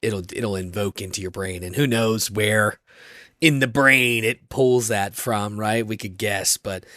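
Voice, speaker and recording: A man's voice: fairly low pitch, unhurried, pushed and bright, dry recording, studio quality. An American man hosting a podcast in a casual, chatty style. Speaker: podcast host. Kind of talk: casual chat.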